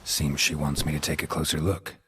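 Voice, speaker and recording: An actor playing a gruff, laconic monster hunter in a video game, calm and probing, with a raspy voice and terse questions. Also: male voice, brisk, a little hiss or hum. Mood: probing, stern. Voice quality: very low, gravelly rasp. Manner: terse, flat questioning in clipped sentences.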